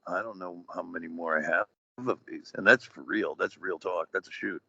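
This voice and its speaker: Deep gravelly man in his forties. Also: steady tone, normal speed, pushed and bright. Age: 40s. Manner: rambling, lots of fillers and wrestling slang. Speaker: guest, retired wrestler. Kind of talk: casual podcast chat. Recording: dull lo-fi podcast audio, dry.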